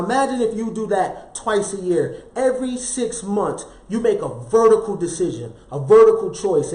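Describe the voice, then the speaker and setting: A man's voice: sits high, darkish tone. A man delivering a motivational self-help talk with a slightly raised voice. He preaches through rhetorical questions and repeated key phrases, with casual slang asides. The sound is roomy and echoey. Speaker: motivational speaker.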